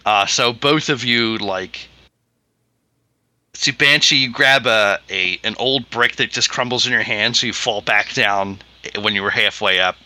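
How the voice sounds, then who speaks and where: A man narrating as game master, with a very bright, cutting voice and a relaxed, hesitant delivery. He sounds dry and close to the mic. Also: lowish voice, measured pace, no room sound. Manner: laid-back, hesitant, with many ums.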